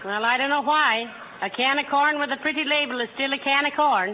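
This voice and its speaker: A woman's voice: high voice, a little fast, natural voice. A woman playing a sensible, long-suffering wife who chides drily in a 1940s-style radio comedy. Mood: resigned, dry. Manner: dry, gently chiding. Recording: tinny noisy vintage radio recording.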